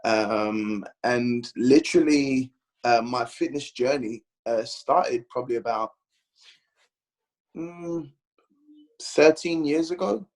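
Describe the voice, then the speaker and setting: A man from London with a London accent, a guest bodybuilder chatting on a podcast, rambling and animated as he tells his story. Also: medium pitch, slow, pauses often, poor audio. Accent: London English. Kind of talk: casual podcast chat.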